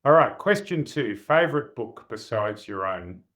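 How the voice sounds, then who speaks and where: Upbeat podcast host. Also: male voice, mid-range voice, sing-song, a bit bright. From Australia. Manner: brisk, upbeat question-asking. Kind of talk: interview podcast.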